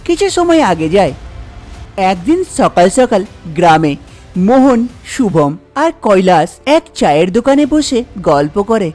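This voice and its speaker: A woman's voice: medium pitch, sing-song, a little slow, a little mellow, faint music behind. A woman narrating a scripted cartoon folk tale. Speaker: storyteller, narrator.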